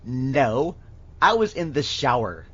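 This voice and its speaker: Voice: medium pitch, big pitch swings, slow pace, breathy edge, full character voice. A performer plays a young woman on the witness stand, sullen and defensive. The delivery is curt and sulky, in radio play style. The sound is a bit muffled and lo-fi. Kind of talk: amateur audio drama courtroom acting. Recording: dull lo-fi audio.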